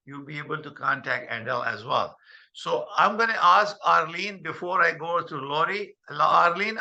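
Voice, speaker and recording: An older man hosting a video call meeting, speaking haltingly with many fillers. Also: on the high side, creaky, bright, forceful tone. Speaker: host.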